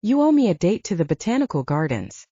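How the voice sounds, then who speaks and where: A woman voices a hesitant, smitten young heroine in an audiobook. She sounds awkward and guarded, with a mellow tone and lively pitch. The recording is dry and a bit dull. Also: fast, slightly rough, no echo. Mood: awkward, hopeful. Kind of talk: audiobook character dialogue.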